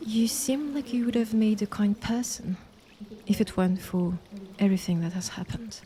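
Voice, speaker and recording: Woman playing a mellow, composed monster sister. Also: mid-range voice, lightly acted. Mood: solemn appeal. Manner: measured, formal, composed. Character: calm, stern younger monster sister with magical voice. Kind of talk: dramatic audio roleplay acting.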